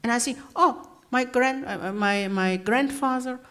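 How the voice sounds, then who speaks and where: Woman with halting English. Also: on the high side, fairly bright tone. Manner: halting non-native English, searching for words. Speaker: panel guest. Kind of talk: panel discussion answer. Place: public debate hall.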